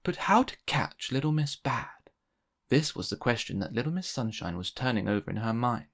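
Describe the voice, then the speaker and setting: A man narrating a children's storybook aloud in an expressive read-aloud style. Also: medium pitch, normal speed. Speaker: storybook reader.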